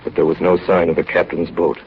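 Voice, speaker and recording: An actor in a 1930s radio drama playing a grave naval officer who narrates in a dramatic manner. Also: male voice, sits low, monotone, fast talker. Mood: somber. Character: grave naval officer recounting a polar sea disaster. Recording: dull, very noisy 1938 radio recording.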